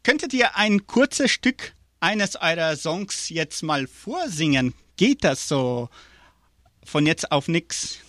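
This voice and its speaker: A man's voice: fairly high pitch, very animated, slow, fairly bright tone, dry recording. A man hosting a live interview and prize draw, chatty and upbeat with frequent hesitations. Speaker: radio host.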